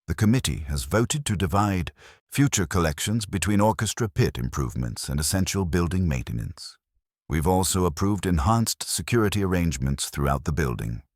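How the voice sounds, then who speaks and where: A man's voice: low-pitched, lively intonation, moderate pace, dry recording, clean studio sound. A man voicing a prim female committee secretary in a mystery audiobook, precise, formal and businesslike. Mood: satisfied. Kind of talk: audiobook mystery character dialogue.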